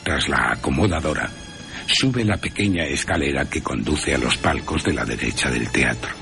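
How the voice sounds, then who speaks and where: Very deep, gravelly male storyteller. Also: expressive, moderate pace, bright, forceful tone. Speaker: narrator. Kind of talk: scripted storytelling, descriptive narration. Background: faint music.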